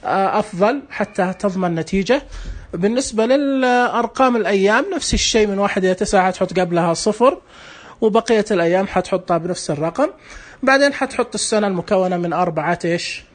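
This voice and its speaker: A man's voice: sits high, fast. A man casually walking a listener through an app, step by step, in a plain instructive way. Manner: plain, instructive, explains patiently with small restarts. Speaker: app demonstrator.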